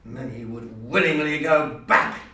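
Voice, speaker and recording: A man auditioning as a weary, reflective elder statesman, proud yet doubting, with measured theatrical declaiming. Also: medium pitch, bright, forceful tone. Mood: impassioned. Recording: very echoey room, distant mic, faint noise.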